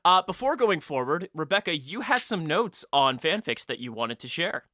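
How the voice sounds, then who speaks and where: A woman podcast host speaks in a bright, forward voice with a very lively swing in pitch. Her delivery is informal and conversational, and the recording is dry and close. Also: moderate pace, no room sound. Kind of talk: casual show introduction and chat.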